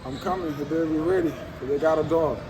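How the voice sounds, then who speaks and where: A teenage basketball recruit from Senegal, answering interview questions in a halting, casual way, full of fillers. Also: male voice, a little high, a crowd in the background. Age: teens. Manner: halting, many fillers like um and you know.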